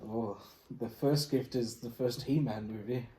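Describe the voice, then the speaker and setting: A man's voice: mid-range voice, unhurried, a little mellow. A man co-hosting a podcast with playful, mock-grand casual banter. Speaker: podcast co-host. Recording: roomy, echoing, chattering background.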